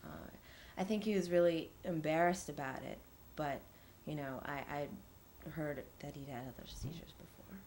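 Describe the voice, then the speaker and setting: A woman's voice: deep voice, moderate pace, few pauses, a little mellow. A woman playing an earnest witness in an audio drama, speaking hesitantly and conversationally as she recounts events to an investigator. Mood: candid, troubled. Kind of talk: audio drama interview testimony. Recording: faint background noise.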